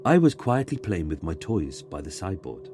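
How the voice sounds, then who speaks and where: A man reading a scripted ghost story in a measured, dramatic way, pausing for suspense. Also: deep voice, sing-song, gravelly, over music. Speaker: guest narrator reading a submitted ghost story.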